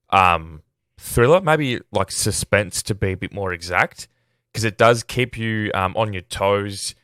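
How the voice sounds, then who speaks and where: A man's voice: on the low side, fairly bright tone, clear, resonant tone, no room sound, clean studio sound. An Australian man hosting a casual film review podcast while sick, talking in a rambling, self-deprecating, hesitant way. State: sick, voice at risk of giving out.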